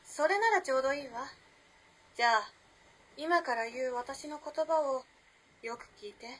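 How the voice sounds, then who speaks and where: A woman plays a young modern lady in a period radio drama. Her voice sits high, and she speaks with cool poise. She is playful and enigmatic, teasing in elegant, old-fashioned feminine phrasing. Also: moderate pace, slight background noise, close to an everyday voice. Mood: playfully mysterious. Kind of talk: Japanese radio drama acting.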